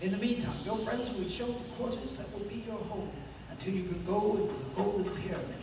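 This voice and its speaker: A man's voice: high voice, fairly quick. A man playing a dignified, grave Mayan king who speaks formally, in a 1930s-style radio play. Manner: formal, stately. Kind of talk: 1930s radio adventure acting. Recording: noisy, very echoey hall, distant mic.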